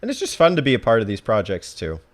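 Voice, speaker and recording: A man's voice: on the low side, wildly swooping pitch, fast. A man hosting a casual interview, informal and encouraging as he thinks his questions through aloud. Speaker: podcast host.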